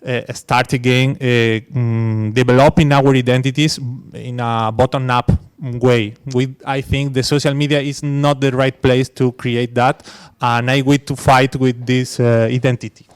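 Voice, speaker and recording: A man's voice: medium pitch, little pitch movement, measured pace, few pauses, clear, resonant tone. A man from Spain, an English speaker, talking on a conference panel with many fillers and restarts. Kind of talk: academic panel discussion.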